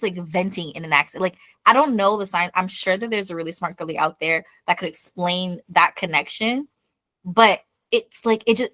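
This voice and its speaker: A woman's voice: medium pitch, bright, forceful tone, no room sound. A young woman co-hosting a podcast in casual chat, laughing easily and swearing casually. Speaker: podcast co-host.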